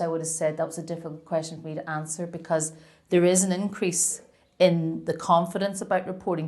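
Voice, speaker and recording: A woman who leads an anti-discrimination organisation, answering interview questions in a measured, earnest way. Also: lowish voice, darkish tone.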